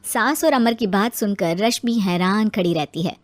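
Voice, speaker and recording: A woman's voice: medium pitch, normal speed, no room sound. A woman narrator reading a scripted moral family story.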